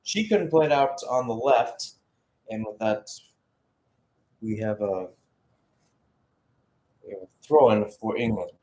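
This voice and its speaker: A man giving live radio-style football play-by-play, halting and correcting himself in places, then surging with the action. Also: on the low side, a little mellow.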